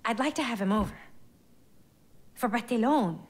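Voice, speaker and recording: High-voiced, caring mom. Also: female voice, animated, moderate pace. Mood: earnest, gentle. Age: middle-aged. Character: caring, tentative mother of a teenage son. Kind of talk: video game dialogue.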